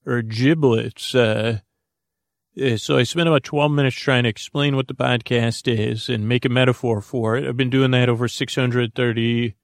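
A man with a gravelly, creaky voice hosting a sleep podcast, rambling in a halting, goofy, improvised bedtime monologue that wanders into tangents. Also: mid-range voice, fairly even intonation, no echo, studio quality.

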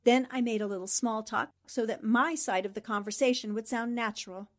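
A woman playing a plucky, sharp-tongued newspaper reporter in a radio drama, composed and wry. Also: on the high side, steady tone, fairly quick, lightly acted. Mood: wry defiance.

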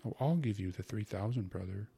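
A man voicing a timid, anxious, long-suffering elderly mother in a story, soft-spoken and meek. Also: fairly low pitch, animated, a little fast, vocal fry, husky, exaggerated voice. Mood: resigned.

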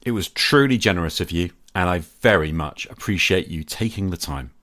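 A man's voice: deep voice, expressive, moderate pace, fairly bright tone. A middle-aged man hosting a podcast, delivering an earnest scripted monologue in short, emphatic phrases. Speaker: podcast host.

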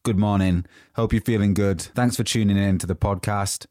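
A man hosting a motivational podcast, a bit croaky from a cold, talking in an informal, chatty, unscripted way. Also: lowish voice, steady tone, moderate pace, a little mellow, dry recording, studio quality. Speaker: podcast host. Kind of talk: motivational talk.

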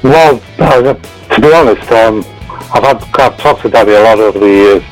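Croaky, passionate author. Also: male voice, on the low side, moderate pace, faint music behind, low-quality recording. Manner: passionate, repeats words. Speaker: guest author. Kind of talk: casual interview chat.

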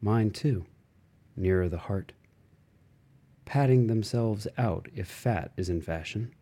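A man reading a literary novel aloud in a measured, expressive way with dramatic phrasing. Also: fairly low pitch. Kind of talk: literary audiobook narration.

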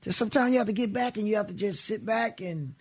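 A middle-aged man from with a raspy voice, telling stories in a relaxed radio interview. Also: high voice. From Mississippi. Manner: relaxed storytelling with Southern grammar and drawn-out pauses. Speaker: guest blues musician.

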